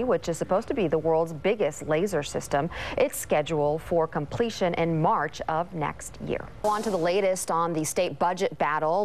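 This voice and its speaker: An American woman working as a TV news anchor, delivering scripted morning news, weather and sports with brief ad-libs. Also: fairly low pitch.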